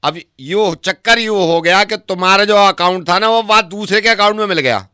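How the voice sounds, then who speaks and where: Man acting surly rustic clerk, rural North Indian Hindi accent, scolding, forceful, high-pitched, prank call. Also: moderate pace, smooth, no echo, clean studio sound. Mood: brusque, officious. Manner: rambling, scolding, rustic dialect. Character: brusque, surly rustic male bank clerk.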